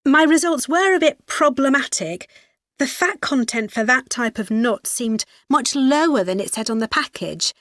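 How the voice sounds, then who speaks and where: Female university student, scripted study discussion, matter-of-fact, highish voice, close dry sound. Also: expressive, moderate pace, a bit bright, no echo. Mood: studious, matter-of-fact. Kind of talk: scripted educational dialogue.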